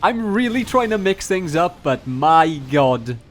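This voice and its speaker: A man's voice: fairly high pitch, sing-song, measured pace, a little hiss or hum. A man narrating his gameplay as a video host, mixing mock-epic roleplay with casual, profane jokes. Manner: profane, joking. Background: music.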